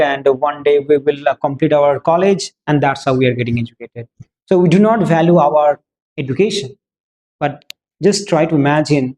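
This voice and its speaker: Fast-talking, high-pitched Bengali-accented man teaching. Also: a little mellow, low-quality recording. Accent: Bengali Indian English. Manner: emphatic, rhetorical questions and repetition. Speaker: public speaking and spoken English teacher. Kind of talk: classroom lecture switching between English and Bengali.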